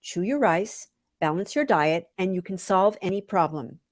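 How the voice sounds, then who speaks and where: A woman reading her own first-person story aloud with wry, deliberate, dramatic phrasing. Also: on the low side, lively intonation, no room sound, clean studio sound.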